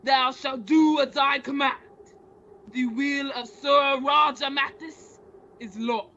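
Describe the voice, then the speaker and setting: An actor doing a vengeful old male Puritan ghost for a radio play, high and forceful. The speech is slow, solemn and archaic, on a dull, noisy recording. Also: steady tone, exaggerated voice. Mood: commanding, threatening. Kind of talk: old-time radio drama acting. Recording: dull lo-fi audio, background ambience noise.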